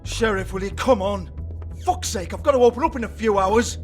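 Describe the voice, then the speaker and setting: Shifty British club boss for a game, male actor: high-pitched, sneering, foul-mouthed, taunting. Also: fairly quick, soft background music, lightly acted. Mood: exasperated. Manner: sarcastic, sweary, taunting. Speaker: game character, shady club owner. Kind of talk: video game voice acting.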